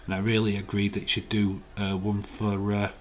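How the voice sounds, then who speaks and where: A man with a croaky, hoarse voice, hosting a casual live stream, chatting in a rambling way and reading out viewer comments. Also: fairly low pitch, monotone, moderate pace, slight background noise.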